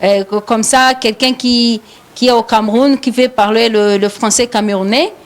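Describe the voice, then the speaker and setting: A woman's voice: on the high side, fairly even intonation, moderate pace. Hesitant Rwandan woman hosting a radio show. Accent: Rwandan French. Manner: hesitant, many fillers and repeated words. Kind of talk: live studio talk show.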